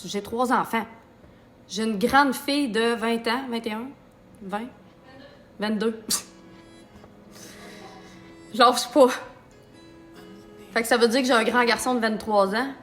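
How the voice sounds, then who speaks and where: Une femme d'âge mûr à l'accent québécois, qui bavarde en blaguant pendant qu'elle se maquille en direct. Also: female voice, on the high side, slow pace, long pauses, soft background music. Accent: Québécois French. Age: middle-aged. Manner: chatty and joking, talks while applying makeup. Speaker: makeup artist and business owner. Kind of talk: live-stream makeup tutorial chat.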